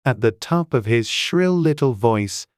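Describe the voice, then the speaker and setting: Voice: mid-range voice, lively intonation, a little slow, no echo, studio quality. An audiobook narrator reading a children's classic in a scripted storybook style.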